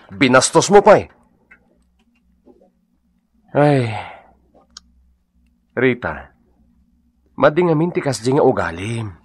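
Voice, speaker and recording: A man's voice: medium pitch, breathy edge, dry recording, heavily acted. A man playing a blunt, scolding husband who lectures reproachfully in an Ilocano radio drama. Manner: preachy, reproachful.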